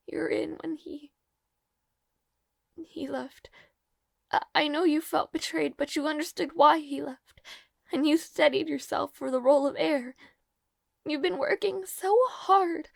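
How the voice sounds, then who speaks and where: A woman playing a devoted, lovelorn young maid in an intimate fantasy audio roleplay, speaking formally and haltingly, tearful and earnest, with soft breathy moments. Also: high voice, no room sound, clean studio sound. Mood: tender, sad.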